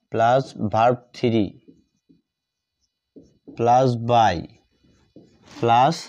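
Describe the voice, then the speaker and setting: A man with a Bengali accent gives a grammar lesson in a soft, mellow voice. He dictates extremely slowly, repeating each phrase in small pieces. The sound is dull and lo-fi. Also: medium pitch. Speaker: English grammar teacher.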